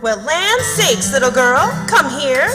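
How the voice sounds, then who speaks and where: Shrewd, motherly Southern busybody, high and swooping, for a reverberant radio play. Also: sing-song, a little slow, over music. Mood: welcoming, nosy. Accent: American South. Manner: folksy, probing. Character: shrewd, folksy frontier countrywoman, motherly and nosy. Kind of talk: radio theatre acting. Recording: long reverberant hall sound.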